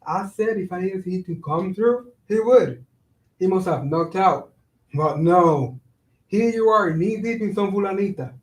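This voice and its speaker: An exasperated, slangy young man played by a male actor complains like a let-down friend. The voice is high and roomy, set back from the mic in a staged reading. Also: a little slow. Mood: exasperated, hurt. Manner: slangy, complaining, sprinkles in Spanish. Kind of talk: staged reading of a one-act play. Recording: roomy echo, voice far from mic.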